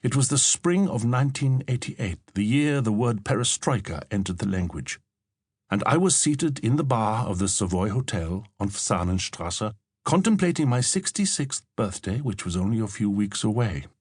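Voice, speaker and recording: A man narrating a literary audiobook as a reflective elderly German-born novelist, in a measured, formal manner. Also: fairly low pitch, animated, a little mellow, husky, no room sound, studio quality. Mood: wry, reflective. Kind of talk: scripted first-person literary narration.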